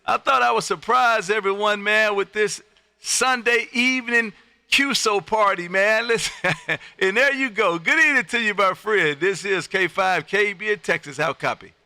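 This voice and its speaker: A man's voice: high-pitched, moderate pace, vocal fry, bright, forceful tone, no echo. A middle-aged American man hosting a live ham radio show from his radio room, with exuberant showman patter and repeated phrases for emphasis. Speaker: amateur radio operator and livestream host.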